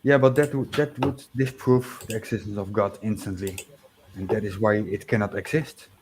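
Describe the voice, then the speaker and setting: A man's voice: lowish voice, fairly even intonation, normal speed, background noise. A man with a Dutch accent, a native Dutch speaker, chatting casually on a livestream, reading viewer comments with many fillers, restarts and chuckles. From Netherlands. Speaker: livestream host.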